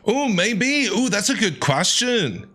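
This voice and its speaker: A man's voice: high-pitched, sing-song, takes it slowly, sharp tone. A man streaming a game, chatty and teasing, playfully reacting aloud with exaggerated commentary.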